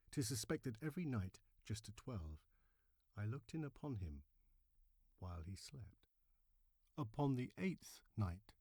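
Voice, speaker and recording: A man playing an agitated, murderous madman who insists he is sane, in a theatrical, emphatic reading of a horror story with hushed drops and sudden swells. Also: mid-range voice, no echo.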